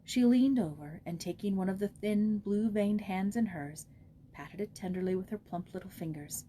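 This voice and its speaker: Mellow woman narrator reading a storybook. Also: mid-range voice, moderate pace. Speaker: audiobook narrator. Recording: noticeable background noise.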